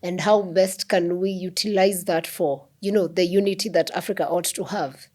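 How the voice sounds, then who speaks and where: Woman host, lowish voice, hesitant questions full of fillers. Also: fairly even intonation, moderate pace, a little mellow. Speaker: podcast host. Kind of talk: interviewing a guest.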